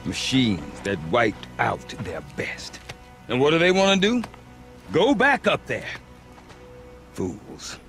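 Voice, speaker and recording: A man's voice: mid-range voice, huge pitch swings, slow, pauses often, fairly bright tone, faint music behind, heavily acted. An actor playing a cynical, sardonic male trader in a video game, talking in a wry, dismissive, conversational way. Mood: scornful, sardonic. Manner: wry, dismissive, drawling asides with hesitant hums.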